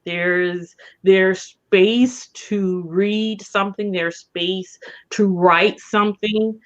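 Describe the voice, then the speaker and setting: A woman co-hosting a casual chat in a measured, encouraging way, on slightly dull audio. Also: medium pitch, fairly even intonation. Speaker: co-host. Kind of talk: casual chat promoting a reading event.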